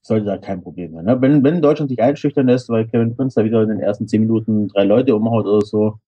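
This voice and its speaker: A man's voice: lowish voice, quick pace, breathy edge, dull audio. A man from Stuttgart, a guest on a podcast, chatting casually and jokingly about football and laughing often.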